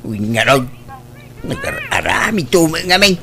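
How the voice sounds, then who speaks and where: A man's voice: fairly high pitch, huge pitch swings, takes it slowly, creaky, bright, forceful tone, exaggerated voice. A man playing an old father in a radio drama, earnestly appealing on behalf of his daughter. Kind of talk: Ilocano radio drama acting.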